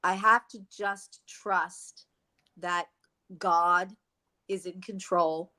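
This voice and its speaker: Sixties woman co-host from the Main Line, thoughtful and quick to laugh, casual live talk. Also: fairly low pitch, fairly even intonation, pushed and bright. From Philadelphia Main Line, USA. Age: 60s. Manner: reflective, laughs often, repeats words while thinking.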